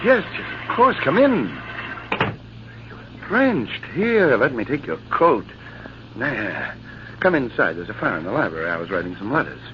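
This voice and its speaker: Proud army captain, anguished, formal, sweeping intonation, muffled old radio drama, background noise. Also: male voice, medium pitch, sing-song, unhurried, long pauses. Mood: tender, anguished. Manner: theatrical, formal, declamatory. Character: proud army captain and skilled duelist, anguished, honor-bound. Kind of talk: 1940s radio drama acting.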